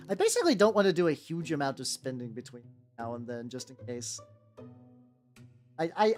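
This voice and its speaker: A young man with a relatively high voice chatting casually on a livestream while painting miniatures, rambling and often repeating himself. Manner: rambling, repeats words, laughs often, squeals in playful high bits. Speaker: VTuber streamer. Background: soft background music.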